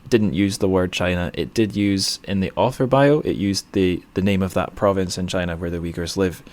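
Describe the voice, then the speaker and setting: A man's voice: low voice, normal speed, darkish tone. A man hosting a podcast interview, asking casual questions in a tentative way and often correcting himself as he goes.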